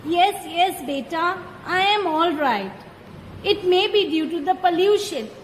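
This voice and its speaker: A woman's voice: very high-pitched, lively intonation. A woman with an Indian accent playing a kindly, teacherly old grandmother in a children's puppet show. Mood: cheerful, affectionate. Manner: slow, deliberate, instructive delivery. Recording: echoey hall, background noise.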